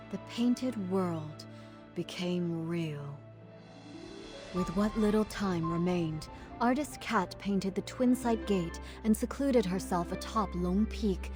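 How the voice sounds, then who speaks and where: Woman as a slow, solemn female myth narrator. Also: medium pitch, lightly acted. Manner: measured, solemn. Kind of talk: scripted storybook narration in a video game.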